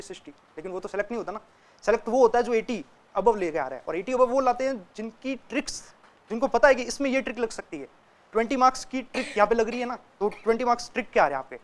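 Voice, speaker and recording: A young man, a student, giving casual, direct advice to other students and often checking in with them as he talks. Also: very high voice. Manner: chatty and direct, keeps checking in with listeners. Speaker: student sharing exam tips.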